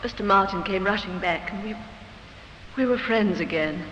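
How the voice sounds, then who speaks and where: A woman's voice: mid-range voice, normal speed, a bit bright, close to an everyday voice. An actress playing an emotional, pleading young woman on trial for shooting her lover in a 1950s radio drama. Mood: grieving, shaken. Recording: muffled, noisy, reverberant old radio recording.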